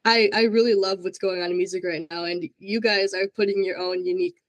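An upbeat woman hosts a casual podcast interview, cheering on her guest with easy enthusiasm. Her voice sits at a middle pitch. The sound is close and dry. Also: fairly even intonation, brisk, no echo. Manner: upbeat, enthusiastic interviewer who praises often. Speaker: podcast host.

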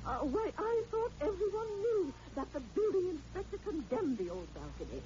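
An actress playing a fussy, fretful, excitable landlady in an old-time radio drama, with a fluttery, flustered way of talking. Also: extremely high pitch, normal speed, a little hiss or hum. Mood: distraught. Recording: dull old radio recording.

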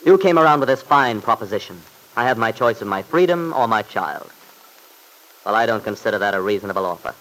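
An unyielding young father in an old-time radio play. His voice is fairly low and he talks briskly, staying polite and earnest while holding firm. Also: lively intonation, a bit bright, low-quality recording. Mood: defiant.

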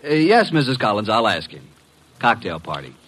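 An actor playing a breezy male detective's assistant in an old radio drama, with a wry, smooth-talking manner. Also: on the low side, big pitch swings, moderate pace, a bit bright. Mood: breezy, polite. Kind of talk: 1940s-50s radio drama acting and narration. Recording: vintage radio drama recording.

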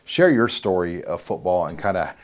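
Low voiced guy interviewing college players, chatty rambling questions. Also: lively intonation, normal speed. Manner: friendly, rambling questions with fillers. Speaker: sports reporter and host. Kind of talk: sit-down sports interview.

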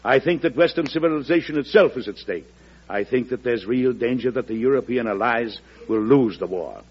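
An actor playing a grave, forceful American president in an old radio drama, speaking in a solemn, oratorical way. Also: male voice, medium pitch, fairly quick, slightly rough, lightly acted. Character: grave, impassioned American president pressing for war. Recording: muffled old radio recording.

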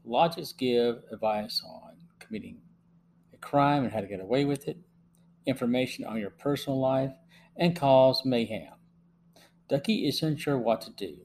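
A man's voice: mid-range voice, normal speed, darkish tone. Middle-aged man narrating over faint hum. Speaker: podcast host and reviewer. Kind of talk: scripted narration, plot summary and review. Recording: faint hum and background noise.

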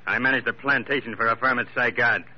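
A man's voice: mid-range voice, fairly even intonation, fast, close to an everyday voice. An actor playing an insistent, menacing plantation manager in an old-time radio drama, pressing his case urgently. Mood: urgent pleading. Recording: muffled, noisy old radio recording.

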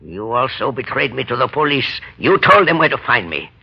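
An actor playing a menacing, vengeful escaped convict in an old radio thriller, with a rough, gravelly voice and grim theatrical delivery. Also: male voice, medium pitch, big pitch swings, normal speed, full character voice. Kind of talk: 1930s-40s radio thriller acting. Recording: dull, lo-fi old radio recording.

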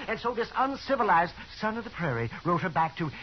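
An American actor playing a garrulous, folksy older town doctor in a 1950s radio Western, excitable and rambling. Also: male voice, high voice, a little fast. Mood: amused. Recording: muffled, hissy 1950s radio recording.

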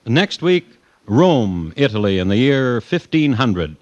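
An actor playing a wry, genteel teller of historical crime cases, narrating a 1950s radio drama in a measured, droll, understated way. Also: male voice, mid-range voice, very animated, no room sound. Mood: deadpan. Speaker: host and narrator. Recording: dry, dull vintage radio recording.

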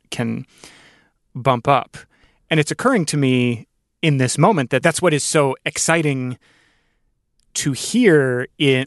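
A man's voice: mid-range voice, no room sound. Thoughtful man interviewer, very dry close sound. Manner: thoughtful, halting phrasing that builds ideas aloud. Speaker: podcast host and interviewer. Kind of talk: reflective interview conversation.

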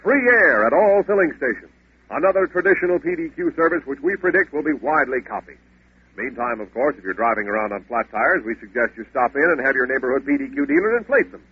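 A man's voice: medium pitch, expressive, fast, breathy edge. A man reading a scripted 1940s radio advertisement in a formal, mock-solemn manner. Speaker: commercial announcer. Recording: thin, phone-like old radio recording.

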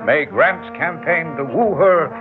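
An American actor playing a genial, avuncular middle-aged outgoing mayor in a classic radio drama. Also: male voice, fairly high pitch, lively intonation, takes it slowly. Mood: jovial. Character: genial, hearty outgoing small-town mayor, generous and avuncular. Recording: tinny old radio recording, faint music.

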